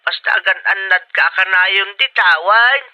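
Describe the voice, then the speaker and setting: A man's voice: sits high, moderate pace, slight background noise. An actor in an Ilocano audio drama playing a concerned older father, heard through a telephone filter. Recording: voice through a phone line.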